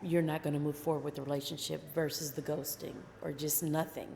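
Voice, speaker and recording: Soft-spoken, lower-voiced woman co-host. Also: little pitch movement, vocal fry, a crowd in the background. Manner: measured, gives considered advice. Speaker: podcast co-host. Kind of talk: casual dating chat. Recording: long reverb.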